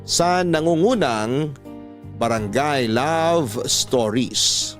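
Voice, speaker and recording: A man's voice: medium pitch, lively intonation, extremely slow, soft background music. A man giving dramatic scripted narration as host of a radio love-story program. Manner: dramatic, questioning teaser delivery.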